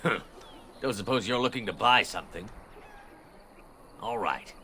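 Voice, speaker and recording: A man playing a gruff, grudging village merchant in a video game, curt in manner. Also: fairly low pitch, a little slow, pushed and bright, a little hiss or hum. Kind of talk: video game character dialogue.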